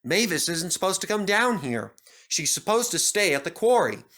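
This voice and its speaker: A man voicing a calm, reasoning engine driver in a storybook reading. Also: high voice, normal speed, pitched above the performer's own voice, heavily acted. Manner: soothing, reasoning.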